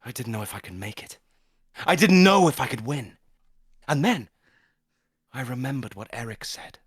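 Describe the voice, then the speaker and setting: A man's voice: mid-range voice, big pitch swings, moderate pace, slightly rough, dry recording, studio quality. A man playing a grandiose, menacing schoolboy with earnest self-importance, in a dramatic first-person audio drama monologue. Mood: grandiose, ominous. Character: grandiose, menacing schoolboy obsessed with conker glory.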